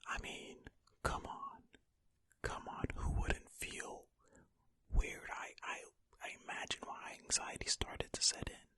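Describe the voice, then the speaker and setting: An ASMR host whispering breathily ear to ear into a close stereo microphone, reading a story aloud in an unhurried, musing way with casual asides. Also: pushed and bright, no room sound.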